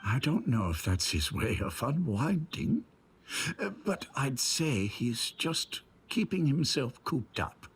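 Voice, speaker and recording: A man's voice: medium pitch, big pitch swings, takes it slowly, a little hiss or hum. A man voicing an affable, chatty landlord who is eager to please in a video game. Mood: puzzled, chatty.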